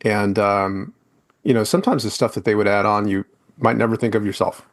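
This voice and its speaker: A man's voice: on the low side, fairly quick. A man hosting a podcast interview, talking loosely with lots of fillers and restarts. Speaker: podcast host. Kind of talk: casual interview chat.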